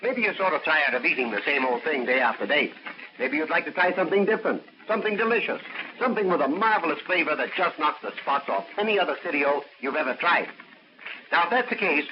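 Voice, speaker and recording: Man, 1930s radio ad, highish voice, coaxing, dull hissy radio sound. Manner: friendly, coaxing, talks to kids. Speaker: radio announcer. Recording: dull old radio recording with hiss.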